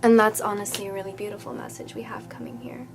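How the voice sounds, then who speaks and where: A woman's voice: medium pitch, big pitch swings, quick pace, whispered, faint music behind. A woman doing a casual tarot reading who sounds a bit nasal from a cold, talking in a chatty, reassuring way with lots of "okay?" and fillers. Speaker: tarot reader.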